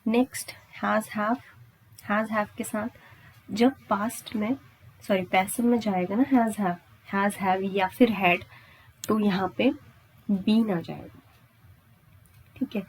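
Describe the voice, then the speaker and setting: A woman's voice: medium pitch, fairly even intonation, a little slow, long pauses, a little hiss or hum, rough recording. An Indian woman teaching grammar step by step, repeating points and answering her own questions with frequent check-in tags. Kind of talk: exam-prep lesson at a board, explaining step by step.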